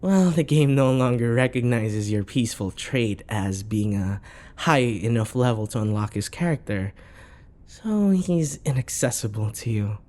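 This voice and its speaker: Casting: man to play possessive dating-sim lover, dark soft voice, slow coaxing delivery, sinister sweetness, whispery ASMR intimacy. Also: mid-range voice, animated, lightly acted. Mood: explaining, coaxing. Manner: soft, coaxing endearments, breathy sighs and whispers. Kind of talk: intimate ASMR roleplay acting.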